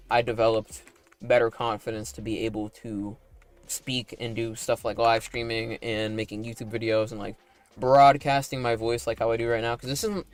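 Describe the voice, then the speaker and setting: A man with a slightly raspy, deliberately deepened voice talks to his viewers in a loose, rambling way, full of likes and tag questions. His pitch sits in the middle range for a man. Faint music plays underneath. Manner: talking in a put-on broadcast voice. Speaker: animator and streamer. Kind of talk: casual livestream chat while playing a game.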